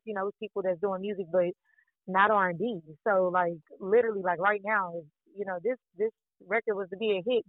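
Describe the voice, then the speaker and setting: A woman from Fort Worth, Texas, with a deep, raspy voice, talking excitedly as a singer being interviewed, rambling and repeating words. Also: dry recording. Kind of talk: casual interview chat. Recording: dry, tinny phone-like line.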